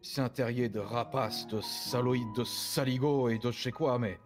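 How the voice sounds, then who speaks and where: Man acting as middle-aged farmer dad, medium pitch, halting, tender, protective, game roleplay, music clearly behind. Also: fairly even intonation, natural voice. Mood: remorseful, tender. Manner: halting, plain-spoken, searching for words. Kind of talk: improvised online game roleplay in French.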